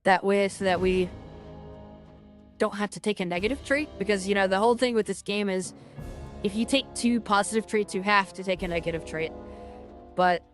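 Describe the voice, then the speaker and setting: A woman talking through her game as a streamer, rambling and filling her talk with "like" and restarts, with a loud voice. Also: mid-range voice, moderate pace, pauses often, faint music behind. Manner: rambling, lots of fillers and restarts. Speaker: gaming streamer. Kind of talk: casual game commentary.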